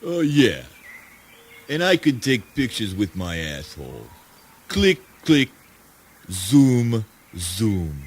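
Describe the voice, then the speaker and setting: A man's voice: lowish voice, big pitch swings, slow, long pauses, breathy edge, heavily acted. A man playing a swaggering, foul-mouthed gang boss in a spoken word play, talking in a slangy, taunting drawl. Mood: gloating, threatening.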